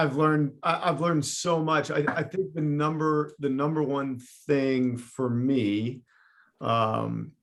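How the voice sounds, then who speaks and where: A man who co-owns a business, speaking as a podcast guest in halting casual chat with many restarts. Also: medium pitch. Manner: halting, repeats words.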